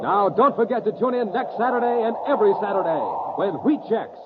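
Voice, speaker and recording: A man's voice: sits high, lively intonation, brisk. A man with an American accent announcing credits and promotions in an emphatic, showy 1950s radio style. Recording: very noisy, dull old radio recording.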